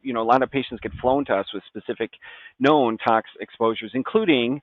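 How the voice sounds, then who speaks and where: An American man talking casually as a medical expert guest, with halting, stop-start, conversational phrasing. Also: mid-range voice, no room sound, phone-quality sound.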